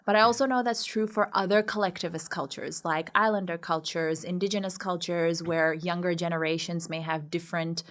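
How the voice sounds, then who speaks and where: A woman from Pakistan with a slightly low voice gives a candid interview. She speaks articulately and reflectively, stressing key words as she tells her own story. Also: fairly even intonation, fairly quick, few pauses, fairly bright tone. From Karachi, Pakistan. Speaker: podcast guest, speaker and coach.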